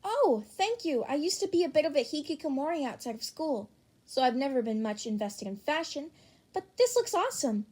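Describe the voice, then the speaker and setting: A woman's voice: sits high, big pitch swings, brisk, a little mellow. Woman playing a shy, polite teenage boy. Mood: shy, grateful. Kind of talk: dramatised fan fiction reading.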